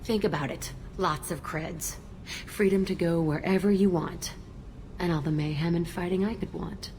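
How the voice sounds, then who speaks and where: Actress as a low-voiced, cynical woman killer. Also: steady tone, moderate pace, darkish tone, a little hiss or hum, natural voice. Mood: sardonic, flippant. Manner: blunt, sardonic. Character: hardened, cynical female killer who relishes mayhem. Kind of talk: video game character acting.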